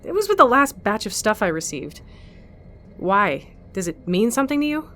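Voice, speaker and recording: A woman's voice: a little high, very animated, moderate pace, pushed and bright. A woman playing a bitter, self-preserving captive fighter in an audio drama, blunt, demanding and sardonic. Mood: exasperated.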